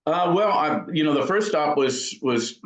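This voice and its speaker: A man's voice: fairly high pitch, animated, measured pace. A man being interviewed as an amp builder, talking casually in thoughtful, rambling explanations. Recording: dull, echoey room sound.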